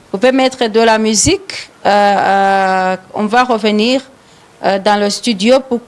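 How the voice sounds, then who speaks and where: A Rwandan woman with a Rwandan French accent, hosting a live studio talk show and pausing often on fillers and repeated words. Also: fairly high pitch. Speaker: radio show host.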